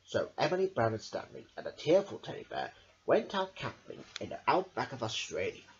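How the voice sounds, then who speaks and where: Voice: mid-range voice, huge pitch swings, brisk. A storyteller reading a children's picture book aloud dramatically, stumbling over words now and then. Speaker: storybook reader. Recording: dull lo-fi camera audio.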